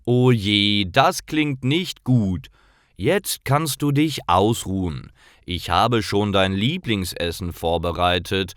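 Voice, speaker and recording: A man voicing a friendly, solicitous kebab shop owner in clearly enunciated storybook dialogue for learners. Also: lowish voice, slow, fairly bright tone, dry recording, clean studio sound. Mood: sympathetic. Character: friendly, caring kebab shop owner greeting his favourite regular.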